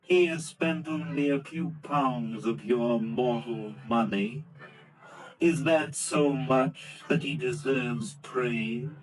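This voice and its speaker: An actor playing a solemn spirit in a vintage radio drama, speaking gravely and asking probing questions. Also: male voice, medium pitch, slow pace, close to an everyday voice, rough recording. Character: solemn spirit guiding an old man.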